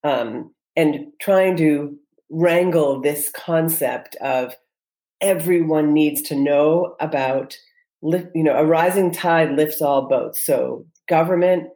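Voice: medium pitch, slow, dark tone. An entrepreneur answering interview questions in an earnest, reflective way, often restarting sentences and using fillers. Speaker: entrepreneur guest.

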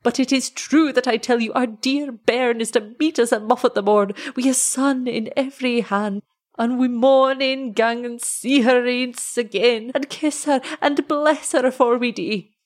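A woman voicing an excitable, tender old shepherd in a story, with a Scottish accent and broad, exclamatory dialect speech. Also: mid-range voice, a little slow, close to an everyday voice.

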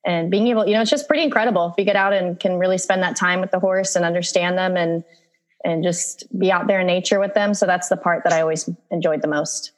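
A woman's voice: lowish voice, quick pace. A woman from Virginia, a guest on a podcast, telling stories in a friendly, easygoing way and laughing often. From Virginia, USA. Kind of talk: casual interview chat.

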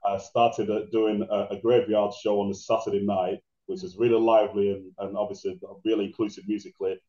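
A man with a deep voice telling stories about his DJ career in a casual interview, rambling with many restarts. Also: level tone, fairly quick, mellow. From England. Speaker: guest DJ. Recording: roomy, dull lo-fi sound.

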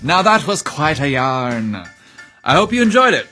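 A man's voice: fairly high pitch, big pitch swings, measured pace, bright, forceful tone, faint music behind. A man narrating a scripted story as its host, in a dramatic, theatrical and emphatic style.

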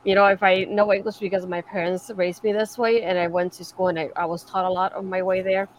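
A woman's voice: fairly low pitch, little pitch movement, brisk, slight background noise, poor audio. A woman from Puerto Rico speaking earnestly and emphatically in a casual podcast panel chat.

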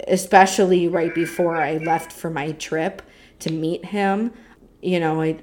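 A young woman from the United States carries a casual podcast chat, talking freely and packing her speech with fillers. Her voice sits low for a woman. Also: darkish tone. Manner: chatty, talkative, with many fillers. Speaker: podcast co-host.

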